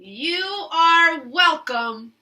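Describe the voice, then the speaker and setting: A woman's voice: high voice, drawn-out words, bright, forceful tone, distant mic, exaggerated voice. A woman voicing a cheerful, helpful female pig in a storybook reading, chirpy and reassuring.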